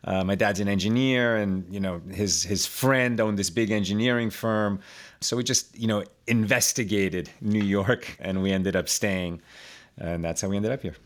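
Animated man in his fifties, lowish voice. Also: normal speed. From Chile. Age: 50s. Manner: animated, with frequent fillers and repeated words. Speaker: podcast guest. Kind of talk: conversational storytelling.

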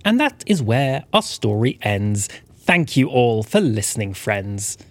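A man's voice: medium pitch, sing-song, unhurried. A man narrating a scripted story in rhyme, like a dramatic storybook teller. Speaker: co-narrator and writer.

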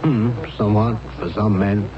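Low-voiced, probing doctor played by a man. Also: fairly even intonation, crowd noise, natural voice. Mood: attentive. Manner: deliberate, questioning, unruffled. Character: calm, probing male doctor, measured and shrewd. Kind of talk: 1970s radio drama acting. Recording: muffled, noisy vintage radio broadcast.

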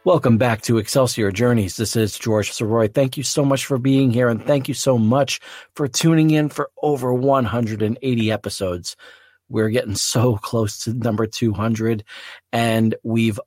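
A man hosting a podcast, reflecting alone in an earnest, measured way with pauses between short phrases. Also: medium pitch, steady tone, darkish tone, dry recording, studio quality. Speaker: podcast host. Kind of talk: solo reflective podcast talk.

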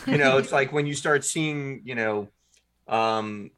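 A man's voice: medium pitch, unhurried, a bit bright. A man guest on a podcast, a writer and producer, chatting casually and rambling with many fillers and restarts.

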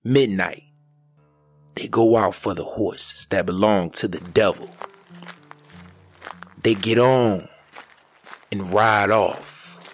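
A man narrating an old folk tale as a storyteller, in a measured way with dramatic pauses. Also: fairly low pitch, soft background music. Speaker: storyteller, podcast host.

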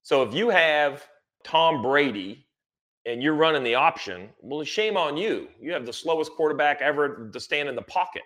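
Bright, emphatic male motorsport analyst. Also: on the high side, lively intonation, normal speed. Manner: emphatic, repeats phrases for stress. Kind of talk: conversational sports analysis.

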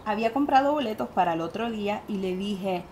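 A woman with a Puerto Rican accent, a guest medium telling her story in an animated interview. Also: medium pitch, fairly quick, slight background noise. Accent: Puerto Rican Spanish. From Puerto Rico.

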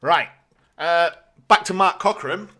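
A man's voice: on the high side, slow, bright, forceful tone. A British man co-hosting a podcast, reading out listener questions and bantering in a jokey, colloquial, casual way.

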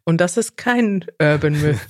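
A woman from Germany co-hosting a podcast, explaining things clearly and chatting in a lively way. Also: deep voice, measured pace, dry recording, clean studio sound. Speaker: podcast co-host. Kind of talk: casual podcast chat, explaining.

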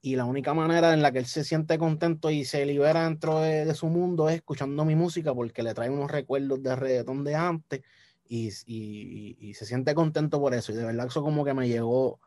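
A young man with a Puerto Rican Spanish accent, talking casually as a guest musician, relaxed and repeating words as he thinks. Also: a little high, brisk, dry recording, studio quality. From Puerto Rico. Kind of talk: casual interview chat.

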